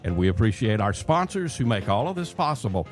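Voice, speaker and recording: A man's voice: on the low side, big pitch swings, brisk, soft background music. An older man hosting a talk radio show in a chatty, folksy, conversational way from a close studio microphone.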